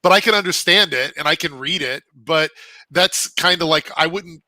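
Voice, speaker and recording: Casual co-host, man, bright forceful tone, dry close sound, loose rambling delivery. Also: a little high, moderate pace, no echo. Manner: rambling, many fillers like "like" and "um". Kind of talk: casual podcast chat.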